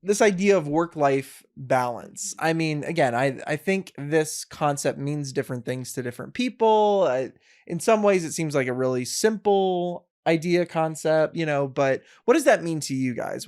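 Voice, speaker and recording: A man's voice: fairly high pitch, darkish tone. A man co-hosting a podcast, asking thoughtful, reflective questions. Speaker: podcast co-host. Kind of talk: casual chat.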